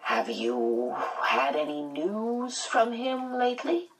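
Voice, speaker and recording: A stern male school principal, played by a woman narrator, talks low and measured. He pries and admonishes in audiobook style, sounding set back from the microphone. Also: big pitch swings, distant mic, low-quality recording. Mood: probing concern. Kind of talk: audiobook character voice.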